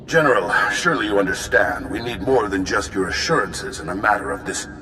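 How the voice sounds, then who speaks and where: An insistent male senator from a video game, pressing and formal. His lowish, forceful voice carries through a very echoey space. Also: lively intonation, a little fast. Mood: exasperated. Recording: echoey long reverb with faint noise.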